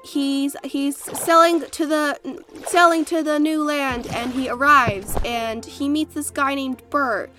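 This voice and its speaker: A woman's voice: high-pitched, steady tone, slow, music in the background. A congested woman co-hosting a casual history podcast from a closet, telling stories in a chatty, playful way with lots of fillers. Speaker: podcast co-host. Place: small closet at home. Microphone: makeshift home setup.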